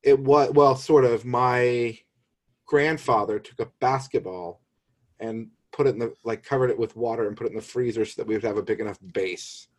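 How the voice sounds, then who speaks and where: A man's voice: medium pitch, fairly even intonation, normal speed. A man co-hosting a podcast in casual chat, with a conversational, playfully wry way of talking. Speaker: podcast co-host.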